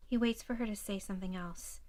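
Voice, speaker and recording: Woman narrator, mid pitch, neutral measured read of scripted drama action. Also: a little mellow.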